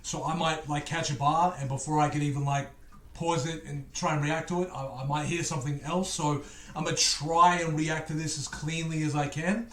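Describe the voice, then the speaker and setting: A man's voice: on the high side, steady tone, moderate pace, fairly bright tone, roomy sound. A man from England with an Australian accent, talking casually to viewers in a music reaction video, chatty and joking with rambling asides.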